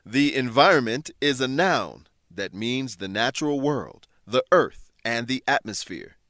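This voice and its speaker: A man hosting and narrating a scripted language lesson, explaining things in a clear, measured teacher's manner. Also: mid-range voice, lively intonation, a bit bright, no echo, clean studio sound. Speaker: host and English teacher.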